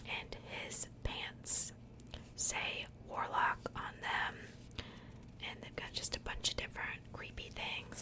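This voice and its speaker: A woman speaking in a soft, breathy whisper, chatting casually and unhurriedly in the style of an ASMR unboxing. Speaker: ASMR video creator.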